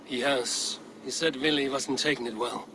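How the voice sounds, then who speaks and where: An actor playing a steady Viking clan leader with a dry, wry and firm manner. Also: male voice, medium pitch, moderate pace, close to an everyday voice. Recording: game cutscene with ambient noise.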